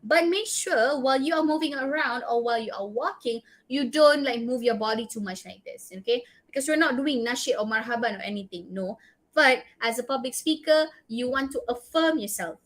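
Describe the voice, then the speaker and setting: A woman's voice: high-pitched, fairly quick. A woman with a Malaysian accent giving a public speaking workshop talk, offering direct advice and tagging her sentences with "right?" and "okay?". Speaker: guest speaker.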